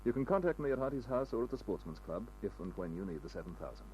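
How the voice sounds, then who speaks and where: Male actor as polite aristocratic nephew type, radio drama: deep, expressive, formal and earnest, slight background noise. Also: quick pace, highs cut off, natural voice. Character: well-dressed aristocratic young man, polite and earnest. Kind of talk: 1940s-50s radio drama acting. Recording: noisy old radio recording.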